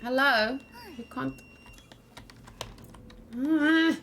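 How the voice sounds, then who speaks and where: A woman's voice: high voice, extremely slow, creaky, a bit bright. A woman chatting casually as she plays a game on stream, thinking aloud in short, musing fragments. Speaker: gaming livestream host. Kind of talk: casual let's play commentary. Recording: background noise with keyboard typing. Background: faint noise, keyboard typing.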